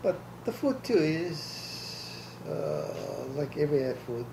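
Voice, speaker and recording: A man's voice: on the high side, big pitch swings, takes it slowly. An older man from Hawaii, answering questions in an oral history interview, blunt and plainspoken, laughing easily. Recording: echoey room with faint hiss.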